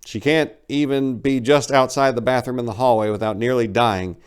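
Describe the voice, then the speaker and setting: A man's voice: mid-range voice, steady tone, moderate pace, smooth, voice pushed up, close to an everyday voice. A man voicing an exasperated, blunt hero of a tall tale, complaining in an emphatic, plain-spoken way.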